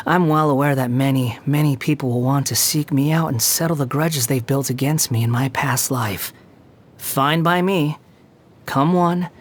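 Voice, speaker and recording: An actor playing a scornful, sardonic young male immortal wanderer in a video game, with a husky voice. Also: medium pitch, natural voice. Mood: mocking, defiant. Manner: haughty, sardonic, sneering. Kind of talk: scripted video game character voice lines.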